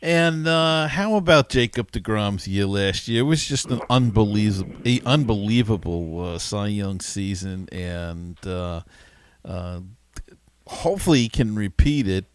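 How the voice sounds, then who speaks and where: A man's voice: on the low side, expressive, measured pace, clear, resonant tone, no echo. A man hosting a podcast, chatting casually about sports. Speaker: podcast host.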